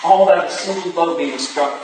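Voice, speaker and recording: Guy acting earnest victorian husband defending himself, high voice, period play, far from mic and super echoey. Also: brisk, close to an everyday voice. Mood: earnest, defensive. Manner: earnest, theatrical. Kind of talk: staged reading of a period drama. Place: staged reading in a room, recorded from afar. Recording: distant echoey room, faint noise.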